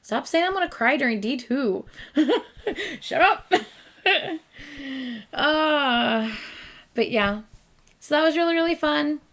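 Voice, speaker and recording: A woman's voice: high-pitched, animated, slow, pauses often, a bit bright. A young woman hosting a late-night reaction livestream, chatting casually with viewers and laughing often as her voice begins to give out. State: losing her voice. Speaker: reaction channel host.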